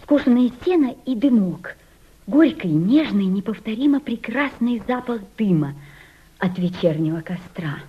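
An actress playing a homesick, quietly defiant Russian émigré woman in a Soviet radio drama, with a lyrical, dreamy delivery. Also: fairly high pitch, lively intonation, measured pace, husky. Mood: dreamy nostalgia. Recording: dull lo-fi radio play recording.

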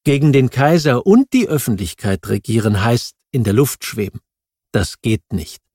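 Mellow male audiobook narrator. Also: mid-range voice, dry recording, studio quality. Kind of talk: scripted nonfiction audiobook narration.

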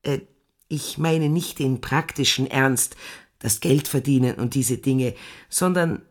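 An actress playing a stately middle-aged farm landlady in a German radio play, speaking in a measured, formal, earnest way. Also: rumbling low, heavily acted. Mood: earnest, reflective.

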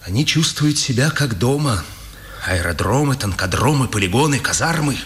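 An actor playing a warm, defiant Czech patriot, expressive and earnest, in a 1950s Soviet radio drama. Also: male voice, mid-range voice, normal speed, natural voice. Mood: brooding. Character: warm, defiant Czech patriot in hiding, playful then impassioned. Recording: noisy 1950s radio recording.

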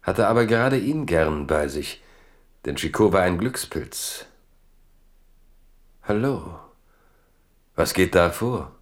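A man narrating a literary radio play in German, telling the story in measured, dramatic phrases with pauses. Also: low-pitched, lively intonation.

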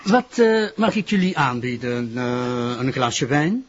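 An actor playing a genial, formal public prosecutor with a Flemish accent and a husky voice, pausing as he talks in a radio drama. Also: mid-range voice, big pitch swings. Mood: jovial. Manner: jovial, hesitant pauses, formal. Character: genial, smooth-talking male public prosecutor, authoritative host. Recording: dull, muffled radio drama recording.

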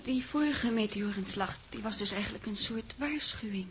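An actress playing a shaken, emotional young woman in a 1960s radio play, with halting, breathy, dramatic delivery. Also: mid-range voice, lightly acted. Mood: shocked, subdued. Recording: noisy old 1960s radio recording.

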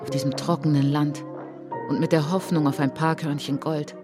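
A woman performing a solemn narrator in a literary radio drama, speaking in measured, dramatic short phrases. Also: low-pitched, little pitch movement, lightly acted. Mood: grim. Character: solemn woman narrator recounting colonial atrocities as a traveller. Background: prominent music behind the voice.

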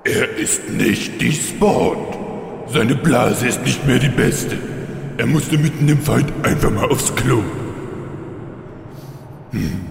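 A very gravelly, slow-talking undead tyrant in a fantasy parody radio play, heard in a big echoing hall. He is smug and needles with mock friendliness and feigned innocence. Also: medium pitch. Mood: smug needling. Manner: drawling, taunting, feigned innocence. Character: smug undead Lich King, teasing, mock-friendly villain. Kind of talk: parody fantasy audio drama acting. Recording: very echoey reverberant hall, faint noise.

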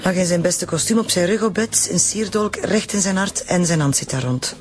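A woman playing a brisk, friendly forensic doctor in a radio drama. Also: fairly low pitch, lively intonation, close to an everyday voice. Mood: clinical.

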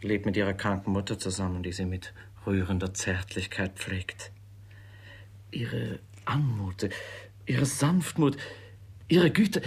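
An actor playing a lovestruck, vain, impetuous young gentleman in a classic radio play, with a husky, gushing, earnest delivery. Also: lowish voice, slow pace, exaggerated voice. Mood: smitten, earnest.

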